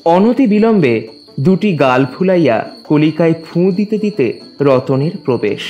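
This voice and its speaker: A man narrating a literary story as a scripted reading, in a formal, measured storytelling manner. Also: on the high side, sing-song, highs cut off, soft background music.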